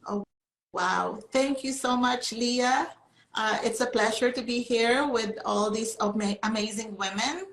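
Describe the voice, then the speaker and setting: A woman in her fifties, raised speaking Filipino, talks in a slightly high voice with a gravelly, fry-tinged edge. Her story comes through a video call from a roomy, echoing space. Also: measured pace, fairly bright tone. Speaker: beauty chemist, brand co-founder. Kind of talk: panel discussion.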